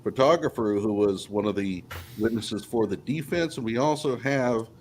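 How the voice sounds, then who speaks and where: A man's voice: mid-range voice, normal speed. Man hosting a podcast, noisy recording. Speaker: podcast host. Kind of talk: live panel podcast introductions. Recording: clearly noisy room ambience.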